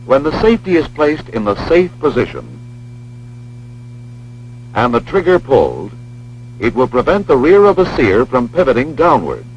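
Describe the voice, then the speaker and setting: An American man reading scripted instructional narration for a training film in a measured, precise, formal manner. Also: mid-range voice, huge pitch swings. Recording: dull lo-fi film soundtrack, humming noise.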